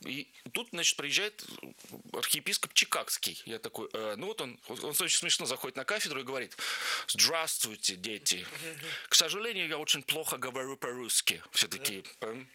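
A man's voice: medium pitch, big pitch swings, normal speed, sharp tone. A man being interviewed on the radio as a guest, telling long stories loosely, with many fillers and restarts. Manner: rambling anecdotes with many fillers. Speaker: guest, producer of Orthodox projects.

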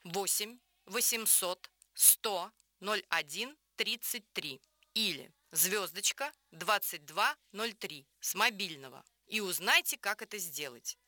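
Very bright-voiced woman selling on radio. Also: medium pitch, lively intonation, slow, no echo. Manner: polished, promotional, clearly enunciated. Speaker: radio advertisement presenter. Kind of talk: scripted radio infomercial.

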